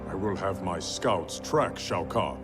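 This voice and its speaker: Noble warrior ruler, lowish voice, stern, formal, proud, game cutscene with music. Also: male voice, animated, takes it slowly, far from the mic. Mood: grave, wary. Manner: formal, grave. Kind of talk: video game cutscene acting. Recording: cinematic music behind.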